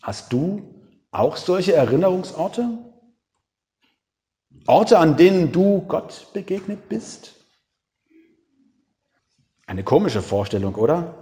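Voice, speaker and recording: A man preaching a reflective sermon, with dramatic pauses, rhetorical questions and emphatic single words. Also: fairly high pitch, sing-song, warm tone, long reverb tail. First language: German.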